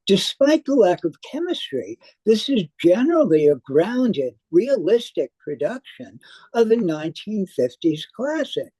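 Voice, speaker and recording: A woman theater critic reading a prepared review aloud in a measured, deliberate manner. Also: mid-range voice, sing-song, dark tone, breathy edge, no echo, low-quality recording. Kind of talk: scripted review read aloud.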